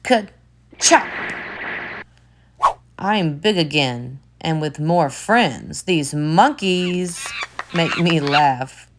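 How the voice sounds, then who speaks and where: A woman teacher reading a children's picture book aloud, expressive, with soft whispered asides. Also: low-pitched, drawn-out words, long pauses, background noise.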